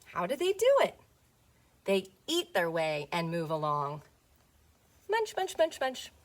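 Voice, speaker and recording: A woman teacher reading a picture book aloud to young children, playful and expressive, often putting questions to them. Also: fairly low pitch, slow pace.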